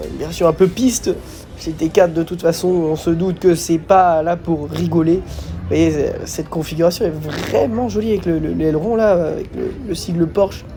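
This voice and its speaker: A man with a fairly high but soft, mellow voice hosts a casual car vlog. He has a slight cold and talks in a hesitant, repetitive way, with music faintly behind him. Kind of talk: casual vlog commentary on cars.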